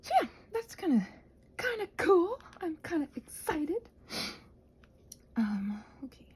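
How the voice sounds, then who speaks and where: A woman chatting casually in a rambling ASMR style, with a soft breathy voice that often drops into a whisper, questioning herself and thinking out loud. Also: very high-pitched, big pitch swings, slow pace. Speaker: ASMR video creator and host.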